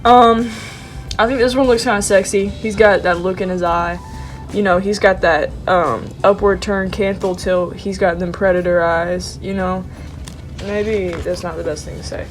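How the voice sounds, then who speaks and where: A woman's voice: mid-range voice, steady tone, moderate pace. Young woman, congested, sniffly, slangy rambling, faint music behind. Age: young adult. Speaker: artist showing her sketchbook. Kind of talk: casual vlog chat.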